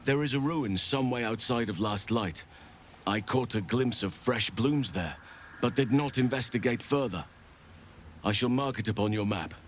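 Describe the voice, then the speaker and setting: A man playing an earnest druid in a fantasy video game, grave yet gentle. His speech is measured and formal, at a middling pitch, with noise behind it. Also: natural voice. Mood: solemn, formal.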